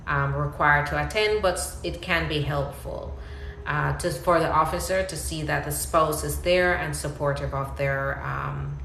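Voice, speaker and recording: A woman's voice: low voice, gravelly, a bit bright, roomy sound, slight background noise, poor audio. A woman with a Jamaican accent, an immigration attorney answering questions in a live-stream Q&A, explaining carefully with frequent ums and restarts.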